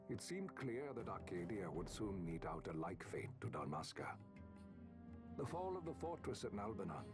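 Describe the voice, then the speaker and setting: A man playing a grave, formal chronicler, narrating a fantasy game's history in measured, ornate phrases. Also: fairly low pitch. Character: grave, formal male chronicler recounting a kingdom's fall. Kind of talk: scripted narration of a fantasy game cutscene. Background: orchestral game music.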